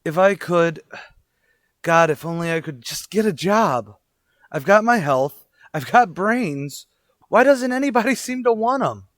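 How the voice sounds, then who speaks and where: A man acting in an old-time radio drama as a desperate, earnest father looking for work, speaking in a halting, pleading way. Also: high-pitched, animated, dry recording, studio quality. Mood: anguished. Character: desperate, earnest, down-on-his-luck young father seeking work.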